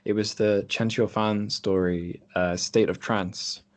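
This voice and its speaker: A man's voice: on the low side, steady tone, takes it slowly, a little mellow. A man hosting a casual podcast conversation over an online call, rambling along in a self-deprecating way. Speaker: podcast host.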